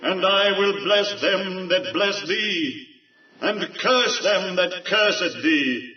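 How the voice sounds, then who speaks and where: A man's voice: sits high, lively intonation, takes it slowly, faint music behind. A man playing the voice of God in a biblical audio drama, solemn and commanding, in a chanting, archaic delivery. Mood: solemn promise. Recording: muffled, distant, echoing lo-fi audio drama.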